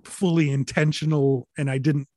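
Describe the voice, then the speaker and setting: A man with a fairly high voice recalls his work in a loose, rambling way. He stumbles over restarts and fillers and swears casually. The sound is close and dry, with no room around it. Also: little pitch movement, normal speed, a little mellow, no echo. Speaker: interview guest, recording artist. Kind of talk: relaxed conversational storytelling.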